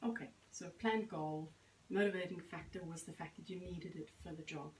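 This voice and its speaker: Eine Frau, die als Sprachcoach ein Gespräch führt, mit eher tiefer Stimme, etwas entfernt vom Mikrofon. Sie fasst Antworten zusammen und ermutigt mit vielen kurzen Bestätigungen, und der Raum hallt. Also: female voice, on the low side, normal speed, roomy sound, far from the mic, slight background noise, rough recording. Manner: encouraging, summarizing back with many affirmations. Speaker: language coach interviewer. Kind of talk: coaching interview.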